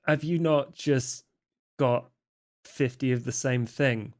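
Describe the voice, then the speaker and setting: Male roleplay player, mid-pitched voice, casual improvised banter. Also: steady tone, slow, a little mellow.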